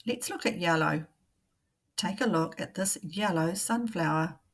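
A woman, a primary teacher reading a picture book aloud to young children in a deliberate, questioning way. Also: fairly low pitch.

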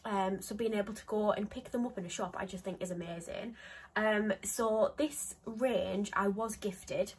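Young woman, stuffy cold voice, breathy, chatty and rambling, close phone mic, small home office. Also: mid-range voice, normal speed. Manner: chatty, rambling, lots of ums and likes. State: congested with a cold. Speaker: beauty vlogger. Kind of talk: casual chat to camera.

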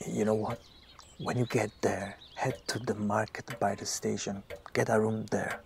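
A man's voice: fairly low pitch, level tone, normal speed, creaky, slight background noise. A man playing a distracted, amorous writer in an audio drama. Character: distracted, smooth-talking male writer, secretly amorous.

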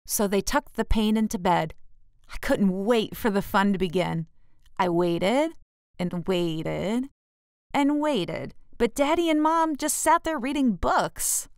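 Woman reading as an indignant, bossy big sister, clear-voiced. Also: mid-range voice, expressive, slow, a little mellow, no room sound, studio quality. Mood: aggrieved, complaining. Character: indignant eight-year-old girl, jealous bossy big sister.

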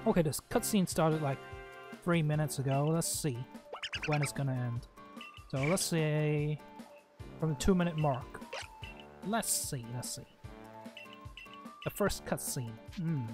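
Higher-pitched man doing casual game commentary. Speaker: gaming video host playing and commenting.

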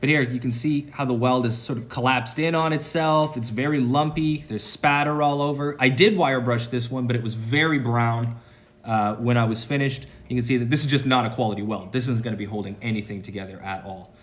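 A man's voice: medium pitch, fairly even intonation, fairly quick. A man in his thirties giving a casual welding lesson, explaining each step plainly. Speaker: welding instructor. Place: welding workshop, indoors. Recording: echoey workshop with background noise.

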